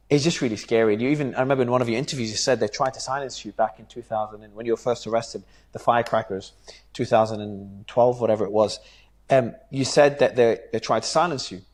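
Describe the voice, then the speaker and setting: A man's voice: medium pitch, a little mellow. A man hosting an interview, asking questions in an earnest, searching way with hesitant restarts. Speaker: interview host.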